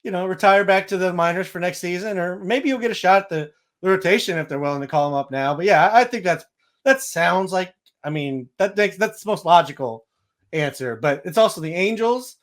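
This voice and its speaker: A man hosting a sports podcast, giving casual, matter-of-fact baseball analysis. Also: fairly high pitch.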